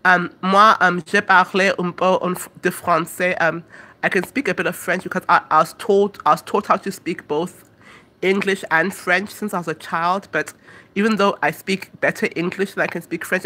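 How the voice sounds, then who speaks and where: Young woman from Africa, casual vlog chat, lots of fillers, hesitant, fairly low voice. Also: bright, forceful tone. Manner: rambling and hesitant, with many fillers. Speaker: vlogger.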